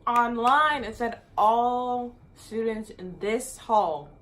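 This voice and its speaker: A woman's voice: a little high, slow pace. Chatty young woman telling a story. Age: young adult. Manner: chatty and animated, says "dude" and "exactly" often. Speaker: vlogger. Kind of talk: casual storytime chat.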